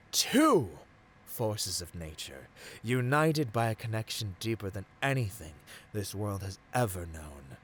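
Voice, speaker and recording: A man's voice: fairly low pitch, a little hiss or hum. A man playing a seductive villain tempting a hero in a scripted ASMR roleplay, speaking intimately and theatrically and often dropping toward a whisper. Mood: coaxing, intimate.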